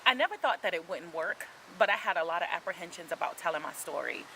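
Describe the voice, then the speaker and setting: A woman in her forties speaks in an earnest, reflective way, pausing on ums as she tells her story. Her voice is bright and a touch low in pitch. A faint hiss sits under the recording. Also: expressive, poor audio. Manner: earnest, reflective, faith-centered storytelling with frequent "um". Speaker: author. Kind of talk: casual interview conversation.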